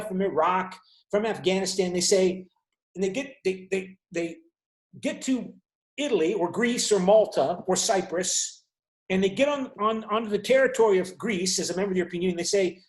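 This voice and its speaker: A man's voice: sits high, roomy sound. Man lecturing a class over video call. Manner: explanatory, checks in with students often. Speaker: university lecturer.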